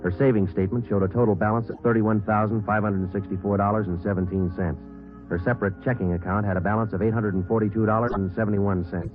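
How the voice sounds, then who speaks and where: An actor playing a terse, matter-of-fact police detective sergeant in a 1950s radio crime drama. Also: male voice, on the low side, fast, natural voice. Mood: deadpan. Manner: deadpan, clipped, matter-of-fact. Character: terse, businesslike male police detective sergeant narrating cases. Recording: muffled vintage radio recording, music behind.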